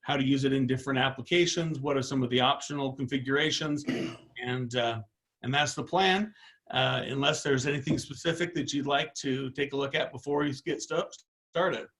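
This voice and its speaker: A middle-aged man with a slightly hoarse voice leading an online product training, informal and rambling with many fillers and restarts. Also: mid-range voice, normal speed, a bit bright.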